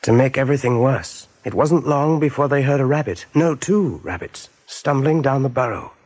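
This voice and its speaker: An actor narrating an animal adventure for a radio play in a measured, suspenseful storytelling manner. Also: male voice, medium pitch, lively intonation, darkish tone, lightly acted. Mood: grave.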